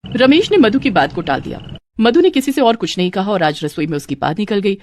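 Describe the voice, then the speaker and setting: A woman's voice: medium pitch, sing-song, fairly quick, darkish tone, slight background noise. Female narrator for cartoon moral story, scripted read, mid-range voice.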